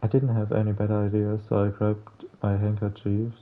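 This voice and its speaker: Low-voiced man reading in a flat tone. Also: normal speed. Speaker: playthrough host. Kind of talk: reading visual novel text aloud. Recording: muffled lo-fi mic, music behind. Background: game music.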